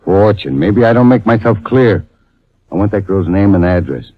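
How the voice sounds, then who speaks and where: Burly crooked Santa: pushy tough talk, low pitch, thin vintage radio sound. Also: male voice, animated, normal speed, muffled recording. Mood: menacing. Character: menacing, burly department-store Santa crook, male. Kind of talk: 1950s radio crime drama acting.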